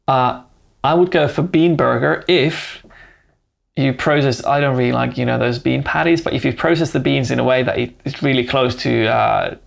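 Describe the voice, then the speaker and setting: A man's voice: mid-range voice, moderate pace, creaky. A man with a Finnish accent, a food technologist guest in a casual interview, often hesitant and repeating words as he answers. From Finland.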